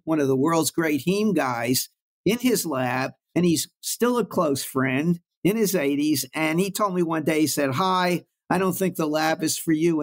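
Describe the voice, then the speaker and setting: An older man from Brooklyn recalls his working life as an interview guest. His voice sits a little high, and he speaks in an anecdotal, reflective way. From Brooklyn, New York. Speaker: guest oncologist. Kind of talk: storytelling in an interview.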